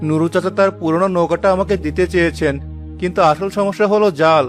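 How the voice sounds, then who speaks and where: A man plays a struggling young-hearted fisherman in a cartoon drama. His voice sits high for a man and is full of earnest emotion and determination. Faint music plays behind him. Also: fairly even intonation, quick pace, close to an everyday voice. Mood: weary, dejected.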